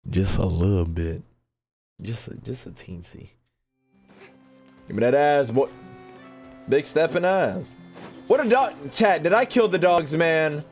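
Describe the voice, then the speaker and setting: A man's voice: a little high, animated, a little slow, long pauses, a little mellow, faint music behind. A young American man giving casual, joking, slangy gameplay commentary as a streamer talking to his chat. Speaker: gaming streamer.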